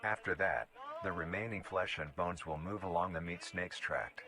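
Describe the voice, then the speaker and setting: A man reading scripted documentary-style narration in a formal, measured, explanatory manner. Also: low-pitched. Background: background music.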